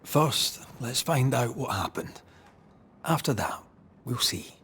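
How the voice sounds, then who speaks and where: An actor playing a steady, protective male ghost hunter in a video game, with a soft-spoken, consoling manner. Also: mid-range voice, slow pace, slightly rough, heavily acted. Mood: sympathetic, consoling. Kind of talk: video game cutscene acting. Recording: music under the dialogue.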